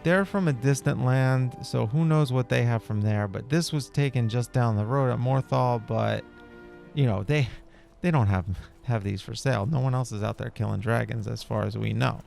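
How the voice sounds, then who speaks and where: Gravelly, laid-back man over music. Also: mid-range voice, moderate pace, darkish tone. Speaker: gameplay video host. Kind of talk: casual in-character gameplay commentary.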